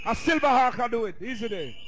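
A man working as a jungle MC at a rave, toasting and chanting to the crowd in a rhythmic, repetitive, shouted sing-song. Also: very high-pitched, lively intonation, quick pace. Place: live at a rave event. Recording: live rave recording drowned in loud music. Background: loud jungle music.

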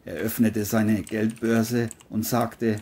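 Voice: mid-range voice, level tone, slow pace, dark tone, slightly rough. A narrator reading a scripted crime audio drama, telling the story between the characters' lines.

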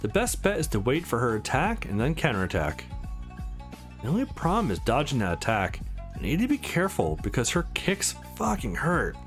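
A man's voice: medium pitch, huge pitch swings, moderate pace, faint music behind. A man voicing a terse, brooding young man who trains to fight in a visual novel. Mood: tense focus. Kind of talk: visual novel dialogue and inner monologue read aloud.

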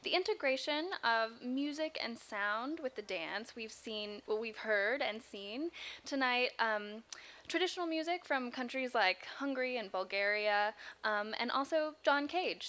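A woman's voice: a little high, moderate pace, a bit bright. A woman moderating an audience question and answer session on an outdoor stage. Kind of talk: hosting an audience Q&A.

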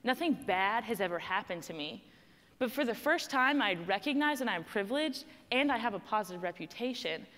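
A woman's voice: medium pitch, animated, a little fast, a bit bright, long reverb tail. A young woman with a Texan accent giving a prepared personal speech on stage, telling her story in a conversational, emphatic way. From Texas. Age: young adult. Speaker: student panel speaker. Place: stage in a large hall.

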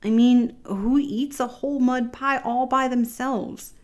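Woman putting on an excitable, whiny baby capybara boy. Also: a little high, unhurried, pitched above the performer's own voice, lightly acted. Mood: upset, whiny. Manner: whiny, exclamatory, emphatic.

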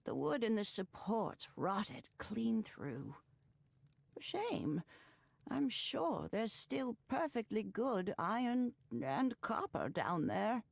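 A gentle old grandmother for a cozy video game. She speaks slowly with a gravelly creak and lilting, expressive pitch. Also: dry recording, exaggerated voice. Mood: warm, wistful. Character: gentle old grandmother, wise nature-minded forager. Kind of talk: video game character acting.

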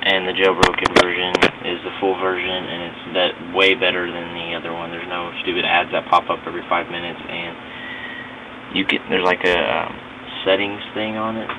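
A young man giving a casual, halting tutorial to camera as an app reviewer. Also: low voice, little pitch movement. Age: young adult. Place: indoors, dim cluttered room at home. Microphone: laptop mic, later phone headset mic. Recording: muffled, noisy webcam-style recording, distant mic.

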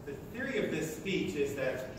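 A man's voice: fairly high pitch, very animated, a little fast. A sixty-year-old American man from Oklahoma giving a motivational talk to an audience in short, emphatic phrases, recorded from far off in a large lecture hall. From Oklahoma, United States. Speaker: speaker at a sustainability meeting. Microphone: distant camera at the back of the room. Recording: echoey hall from far off, noisy.

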